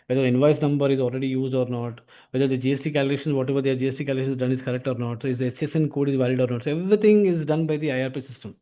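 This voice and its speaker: A man's voice: medium pitch, steady tone, very fast, dark tone. A man with an Indian accent giving an informal explanatory lesson as an instructor, often tagging sentences with filler words. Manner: repeats filler tags like "actually".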